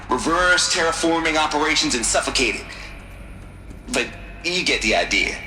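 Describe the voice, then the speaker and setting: A man's voice: mid-range voice, sharp tone, heavily acted. An actor playing a brash, folksy male engineer with a wisecracking, salesman-like way of talking. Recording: roomy sound with music behind.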